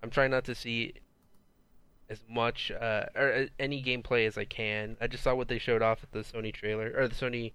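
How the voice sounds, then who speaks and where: A man chatting casually about video games as a podcast co-host, relaxed and a bit hesitant, often repeating words. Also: mid-range voice, brisk, clear, resonant tone, no room sound. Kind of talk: casual gaming chat.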